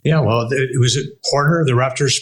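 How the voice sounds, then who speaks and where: A man hosting a casual interview, talking informally in halting phrases. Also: medium pitch. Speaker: interview host.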